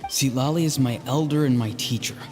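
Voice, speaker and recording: A man's voice: medium pitch, brisk, a little mellow, lightly acted. Man as an earnest young mage, reverberant. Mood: earnest, resolute. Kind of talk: dramatic video game acting. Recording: long hall reverb, game music underneath.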